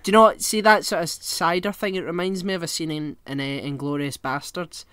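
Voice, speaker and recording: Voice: medium pitch, brisk, clean tone, no echo. A podcast co-host chatting casually and swearing freely while telling rambling comic stories. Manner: rambling, animated storyteller.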